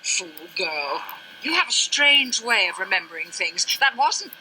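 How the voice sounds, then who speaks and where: A woman playing a sharp-tongued, weary, dying older mother in a video game, with haughty, theatrical, genteel phrasing. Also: mid-range voice, sing-song, measured pace, a little hiss or hum, heavily acted, poor audio. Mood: indignant. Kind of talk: dramatic scripted game dialogue.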